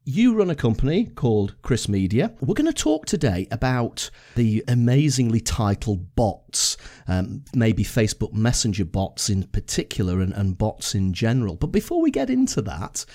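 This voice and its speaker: A man's voice: mid-range voice, very animated, moderate pace, mellow. A man from the UK hosting a podcast interview over a video call, friendly and promotional, asking open questions. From United Kingdom. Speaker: podcast host.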